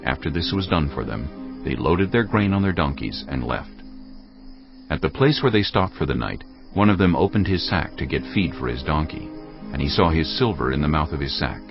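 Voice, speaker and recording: Very deep, gravelly male narrator. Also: animated, normal speed. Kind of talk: dramatized scripture narration, scripted. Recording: dull audio with clear music.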